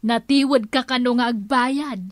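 A woman's voice: fairly high pitch, steady tone, normal speed, close to an everyday voice. A woman playing a tattling village neighbour in an Ilocano radio comedy drama, with an Ilocano accent. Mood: confiding.

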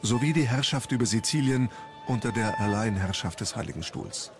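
A man's voice: mid-range voice, fairly quick. A man narrating a scripted historical documentary in a storytelling style. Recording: music bed under the voice. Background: background music.

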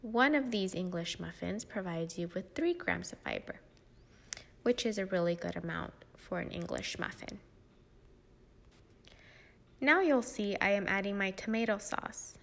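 A young woman hosts a cooking demonstration in a medium-pitched voice. She talks through each step in an explanatory, instructional way. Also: creaky, fairly bright tone. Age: young adult. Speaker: cooking demo host, health educator.

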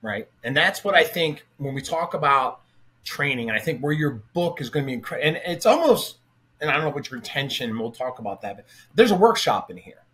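A man's voice: a little high, sing-song, brisk, fairly bright tone. A middle-aged man hosting a podcast, chatting energetically with a guest over a video call from his home office. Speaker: podcast host. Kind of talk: casual interview chat. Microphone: webcam on a video call.

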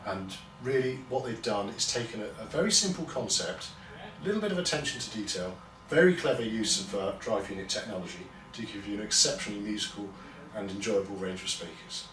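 A middle-aged man with an English accent gives a measured, explanatory product review to camera as a shop presenter, pausing between phrases. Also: lowish voice, animated, fairly bright tone, soft background music. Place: small indoor showroom with bare walls. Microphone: camera a few metres away. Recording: roomy, distant mic, clear background noise.

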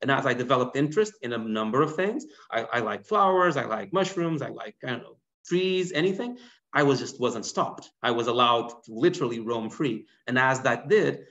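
A man in his thirties from Portugal, answering lecture questions over a video call with lively, animated explanations. His voice sits at a middle pitch and comes through a laptop mic. The audio is somewhat dull and lo-fi. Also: moderate pace. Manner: animated, gesturing while explaining. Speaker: guest biologist. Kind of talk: online lecture Q&A. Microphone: laptop webcam mic on video call.

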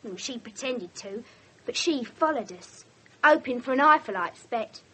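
A woman's voice: on the high side, expressive, normal speed, pushed and bright, breathy edge, full character voice, poor audio. An actress with an English accent playing a defiant, pert young teenage girl in a radio drama. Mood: sullen explaining. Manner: pert, defensive. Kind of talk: 1970s British radio drama acting.

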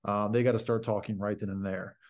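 A man's voice: on the low side, flat delivery, a little fast, darkish tone. A man in his thirties, a basketball coach, explaining a practice drill plainly over a video call. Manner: plain, explanatory, many fillers. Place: home office indoors. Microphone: video call webcam.

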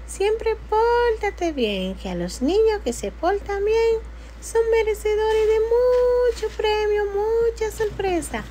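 A woman's voice: very high-pitched, lively intonation, slow pace, warm tone, a little hiss or hum, full character voice. A woman voicing a small childlike cartoon character who lectures young children in a sing-song, admonishing way on a pretend phone call. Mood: kindly advising. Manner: sing-song, admonishing, addressing a little friend.

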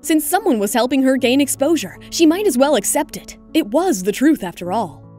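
A woman's voice: high voice, sing-song, brisk, warm tone, soft background music. A woman narrator reading a scripted romantic drama in audiobook style.

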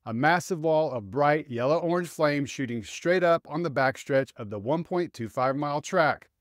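A man's voice: on the high side, no echo. A middle-aged man presenting scripted motorsport opinion commentary into a close studio microphone. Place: small home studio room. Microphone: close studio microphone on stand.

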